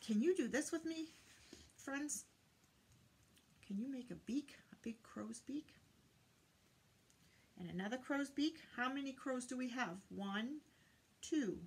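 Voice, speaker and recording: High, animated older woman reading to children. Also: slow, pauses often, husky. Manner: sing-song, questioning, addressing small children. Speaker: read-aloud teacher for young children. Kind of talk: children's read-aloud and teaching. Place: small indoor room lined with bookshelves.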